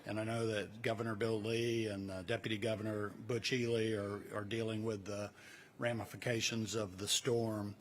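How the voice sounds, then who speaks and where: A man hosting a press event, giving introductions from the podium in an informal, halting way, recorded live. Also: lowish voice, level tone. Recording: clear background noise, live event ambience.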